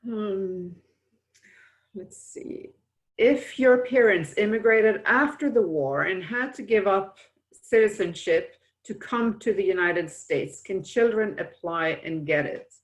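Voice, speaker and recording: A woman's voice: medium pitch, slow, poor audio. A woman moderating a webinar, steadily reading out audience questions and adding short explanations, over a video call.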